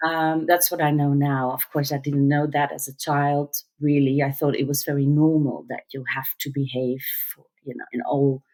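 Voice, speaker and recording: Low-voiced Dutch-speaking woman in her fifties. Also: level tone, mellow. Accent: mixed English and American, non-native. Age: 50s. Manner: reflective, halting with fillers and restarts. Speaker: interview guest, musical theater actor. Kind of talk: casual conversational interview.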